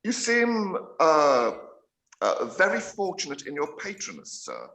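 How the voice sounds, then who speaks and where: A middle-aged English country gentleman for a period radio play. His delivery is dry and teasing, with formal phrasing and lively swings of pitch. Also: gravelly, heavily acted, low-quality recording. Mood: wry amusement. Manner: wry, measured, formal period speech. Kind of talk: live-read radio play of a period novel.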